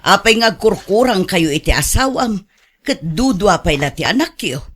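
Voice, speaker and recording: A woman's voice: mid-range voice, animated, normal speed, fairly bright tone, husky. A woman playing an older, questioning aunt in a radio drama, with an Ilocano Filipino accent. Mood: curious. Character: older, frank, questioning aunt who lends money.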